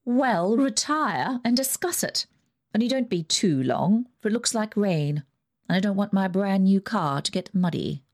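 A woman reading aloud, voicing a wealthy, bossy older aunt in a high, brisk, imperious manner. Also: expressive, darkish tone. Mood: breezy.

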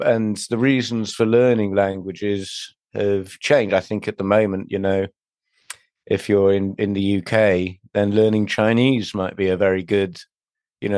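A man answering a question about languages hesitantly, with many pauses and restarts. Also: fairly low pitch, darkish tone, no echo, studio quality. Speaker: interviewee.

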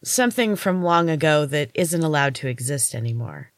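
A woman's voice: deep voice, lively intonation, moderate pace, no echo. Woman reading as a wry, knowing rebel guide, dry sound. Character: strange older rebel woman, amused, smoking, guiding a newcomer.

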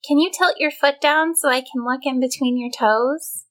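A woman voicing a fearless, helpful young woman in a storybook, speaking boldly in a high, unconcerned voice. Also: moderate pace, a bit bright. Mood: helpful, focused. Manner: bold, unconcerned, high-pitched calling. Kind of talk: storybook character voice.

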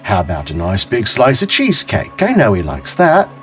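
A man's voice: on the low side, wildly swooping pitch, moderate pace, a bit bright, faint music behind. Man doing a friendly grocer. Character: friendly male grocer, chatty shopkeeper.

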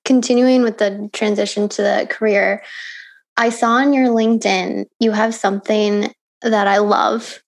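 Creaky-voiced woman hosting an interview. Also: medium pitch, little pitch movement, unhurried. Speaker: interviewer, host. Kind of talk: casual interview.

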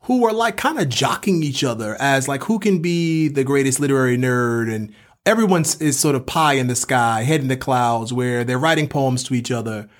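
Male podcast co-host, professorial and reflective, mid-pitched, mixing scholarly talk with colloquial turns. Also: lively intonation, moderate pace. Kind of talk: literary podcast discussion.

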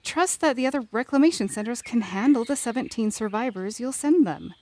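A woman's voice: fairly high pitch, lively intonation, brisk, darkish tone, a little hiss or hum, lightly acted. A woman playing a candid, wry leader and loyal confidante in a fiction podcast drama, with a blunt, teasing manner. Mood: insistent.

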